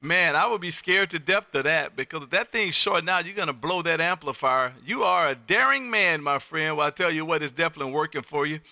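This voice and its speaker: A man's voice: a little high, expressive, brisk, gravelly, few pauses, sharp tone, no echo. An American man hosting a live ham radio stream, folksy and exuberant, repeating his phrases as he chats on air. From Texas, United States. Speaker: amateur radio operator and livestream host.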